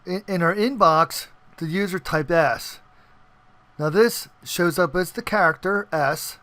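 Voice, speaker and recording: A man's voice: high voice, unhurried, bright, forceful tone, no room sound. A man hosting a casual screen-recorded coding tutorial, talking informally and often checking in with the listener. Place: indoors, voice-over on screen capture.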